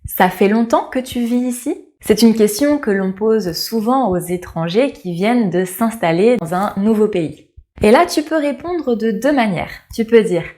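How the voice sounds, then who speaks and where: A young French woman from Paris, a French teacher, giving a lesson to camera and slowly repeating each model phrase clearly. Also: mid-range voice, darkish tone. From France.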